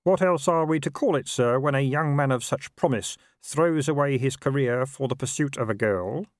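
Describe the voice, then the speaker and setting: A man's voice: mid-range voice, normal speed, dry recording, clean studio sound, pitched above the performer's own voice, lightly acted. A man voicing a deferential, concerned aide in an audiobook, formal and respectfully insistent. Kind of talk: audiobook character voice.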